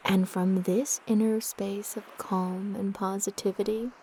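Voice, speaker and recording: A woman narrating a guided meditation in a soft, breathy, gentle and soothing manner with long pauses. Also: medium pitch, little pitch movement, slight background noise, rough recording.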